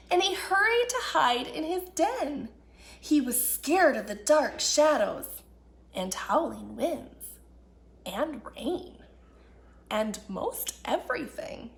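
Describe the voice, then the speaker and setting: A woman hosting a children's story time, talking and reading aloud in an expressive, deliberate way. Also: sits high. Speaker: children's and families director reading stories. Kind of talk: warm greeting and read-aloud children's storytelling.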